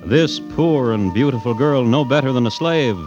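A man's voice: mid-range voice, moderate pace, natural voice. Wry radio storyteller over music male narrator. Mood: wistful, dramatic. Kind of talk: scripted radio drama narration. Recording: muffled old radio broadcast.

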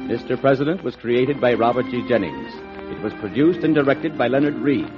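A man's voice: mid-range voice, expressive, fairly quick. A man reading credits as an announcer in a formal 1940s radio style. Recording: muffled old radio, loud music and applause. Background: loud music and crowd.

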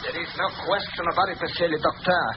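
A man's voice: a little high, fairly even intonation, quick pace, lightly acted. Man as a wary French henchman, muffled recording. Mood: deferential. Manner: careful, stiff formal phrasing. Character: wary, obedient foreign male henchman. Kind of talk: 1930s-40s radio thriller acting. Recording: muffled, noisy old radio recording.

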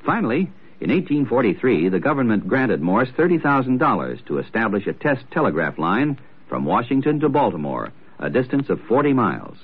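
A man's voice: mid-range voice, sing-song, brisk, husky. A man delivering earnest, declamatory scripted narration in the style of 1940s radio. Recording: tinny old radio recording.

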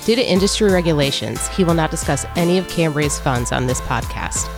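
A woman reading a formal legal disclaimer in an even, scripted manner. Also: sits low, brisk. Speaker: disclaimer announcer. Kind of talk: scripted read. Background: music.

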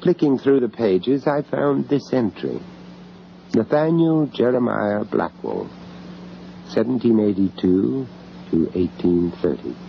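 A dull, noisy old radio drama recording of an anxious British gentleman art dealer. His voice is on the low side, and he tells his horror story gravely and hesitantly, heavy with dread. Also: big pitch swings, exaggerated voice. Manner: grave, hesitant, dramatic delivery with halting repeats.

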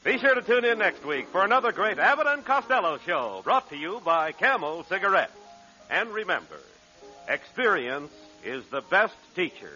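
A man's voice: high voice, big pitch swings, moderate pace. A man announcing in formal 1940s radio style. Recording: muffled 1940s radio broadcast with loud music.

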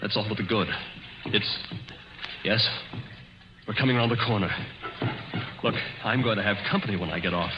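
An actor playing a nervous, hunted young man with a halting, urgent delivery in a 1940s radio drama. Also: fairly low pitch, over music, natural voice. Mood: desperate. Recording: muffled 1940s radio recording.

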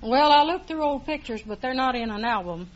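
A woman's voice: sits high, expressive, brisk. An older woman with a Texan accent chatting as a contestant in a plain, folksy, matter-of-fact way. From Texas, United States. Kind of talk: plain-spoken folksy conversation. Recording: noisy muffled vintage radio, loud audience. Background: loud studio audience.

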